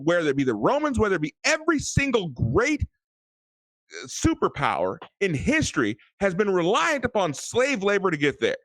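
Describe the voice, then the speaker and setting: A man's voice: high voice, a bit bright, dry recording, poor audio. A man ranting in a casual late-night chat, often repeating words as he builds his point.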